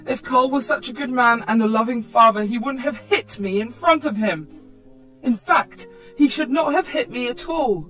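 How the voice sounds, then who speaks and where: A woman's voice: fairly high pitch, normal speed, a bit bright, soft background music, pitched above the performer's own voice. A woman voicing a defiant, exasperated mother in a dramatised story. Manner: exasperated, emphatic. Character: indignant, defiant young mother standing her ground.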